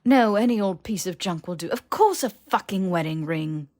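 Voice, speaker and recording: A woman acts a young highborn male wizard for a fan-fiction audiobook. He is sneering and testy, drawling his sarcasm with dramatic scorn. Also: expressive, moderate pace, a little mellow, close to an everyday voice. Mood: exasperated, mocking.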